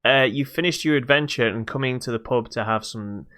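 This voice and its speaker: A man's voice: mid-range voice, fairly quick, sharp tone. A British man chatting casually as a podcast co-host. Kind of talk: casual chat.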